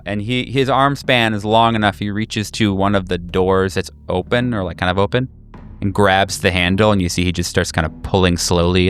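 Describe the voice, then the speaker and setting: A man narrating a horror tabletop game as game master, improvising haltingly and searching for words. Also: deep voice. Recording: music behind. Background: clear background music.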